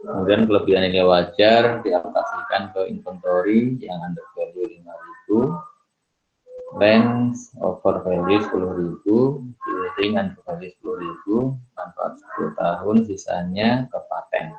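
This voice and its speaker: A middle-aged man giving a recorded accounting lesson, working through problems step by step at an unhurried pace and often filling pauses with "ya" and "eh". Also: low voice, steady tone, a little hiss or hum. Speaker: accounting lecturer. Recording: dull lo-fi recording.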